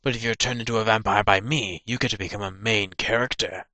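A man voicing a smug, sardonic vampire gunslinger in a deadpan parody cartoon style. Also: lowish voice, quick pace, no echo. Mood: smug, dry. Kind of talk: fan-dub parody cartoon acting.

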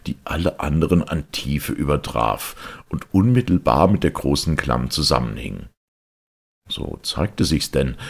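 Very deep, gravelly male storyteller. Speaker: audiobook reader. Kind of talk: literary audiobook narration.

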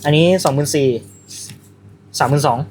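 A man in a card shop reacting excitedly and cheering during a match. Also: fairly high pitch, moderate pace, background noise. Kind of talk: casual banter.

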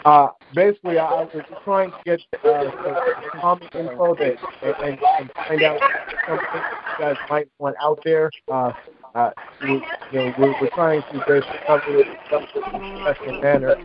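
A man calling in to a group phone call, speaking politely and haltingly. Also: a little high, steady tone. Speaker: caller, news website operator. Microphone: telephone. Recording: dull noisy phone line with clicks.

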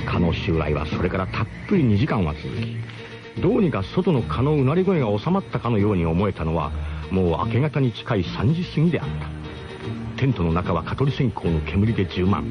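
A man's voice: lowish voice, sing-song, fairly quick, over music. A man narrating a radio drama as comic first-person storytelling, in an emphatic, mock-grandiose manner. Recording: muffled radio broadcast with clear background noise.

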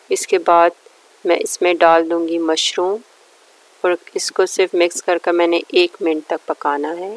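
A woman's voice: on the low side, no room sound, poor audio. A woman narrating a recipe step by step in a plain, instructional way. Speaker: cooking show host.

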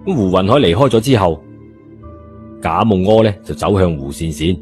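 A Cantonese man narrates an audiobook in a fairly low voice. His delivery is colloquial storytelling. Background music runs beneath him. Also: lively intonation, normal speed, a little mellow.

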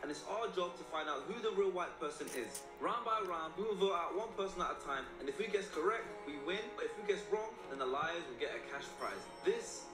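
A man's voice: sits high, expressive, brisk, hardly any pauses. A man presenting an online challenge show, explaining the rules in a scripted intro. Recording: roomy sound under loud music. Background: music.

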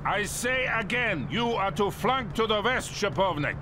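Stern, high-pitched Soviet commander. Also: male voice, sing-song. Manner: stern, deliberate. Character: firm older Soviet commander on radio. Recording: distant radio voice, loud battle noise.

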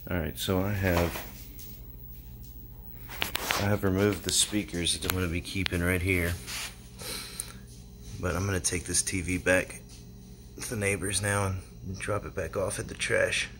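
A man's voice: deep voice, flat delivery, measured pace, long pauses. An American man giving casual, folksy vlog commentary as the host of his own video. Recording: clear background noise.

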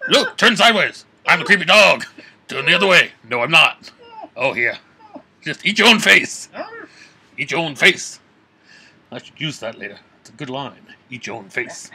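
A man playing a nervous, excitable, down-on-his-luck puppet in an improvised comedy puppet show, with a rambling, stammering, raspy delivery. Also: on the high side, normal speed, long pauses, exaggerated voice. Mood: agitated, then creeped out.

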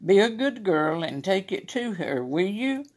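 A caring storybook mother , read aloud by a woman. She instructs firmly in a slow Southern American accent. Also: mid-range voice, big pitch swings, darkish tone, pitched above the performer's own voice. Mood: kindly firm. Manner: instructing, firm.

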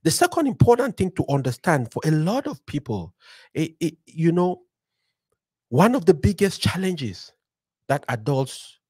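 A man in his forties, originally from Cameroon, speaking as a podcast guest in an animated, motivational style with frequent "right?" check-ins, recorded close on a studio mic. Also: fairly high pitch, unhurried, breathy edge, no room sound. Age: 40s. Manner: animated, preacher-like build-ups, frequent "right?". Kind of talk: energetic motivational storytelling. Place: bookshelf-lined podcast studio. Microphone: studio mic on boom arm.

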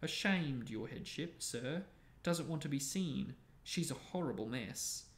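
A man's voice: mid-range voice, steady tone, normal speed, vocal fry, close to an everyday voice. A man voicing a gleeful, taunting poltergeist from a storybook, oily and mock-deferential. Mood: gleefully sly. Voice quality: cackling, oily.

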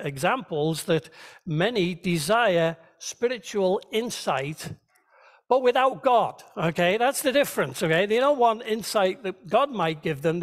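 High-pitched male pastor preaching. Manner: conversational teaching with rhetorical check questions. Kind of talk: sermon. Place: church, speaking to a congregation.

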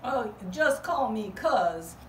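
A woman voicing a calm, gentle male wild-thing toy creature who speaks slowly and low. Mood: kindly. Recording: roomy, from far off, faint hum.

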